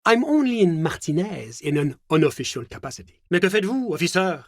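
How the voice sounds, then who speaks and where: An actor playing a suave, evasive government bureaucrat in a video game, with a French accent and a formal, polite, measured delivery. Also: male voice, high voice, very animated, no room sound, studio quality, heavily acted. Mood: smooth, evasive. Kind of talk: scripted game character dialogue.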